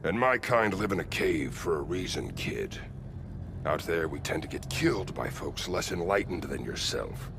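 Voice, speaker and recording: An actor playing a gruff, folksy cave-dwelling male mentor with a wry, colloquial way of talking, in a game cutscene. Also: low voice, expressive, moderate pace, noisy background. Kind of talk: video game cutscene acting.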